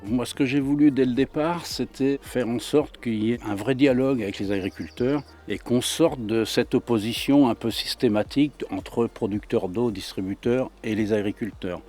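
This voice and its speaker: A man's voice: mid-range voice, normal speed, hardly any pauses, darkish tone, soft background music. A man giving a conversational interview testimonial, feeling his way through his phrases. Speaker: local water authority representative.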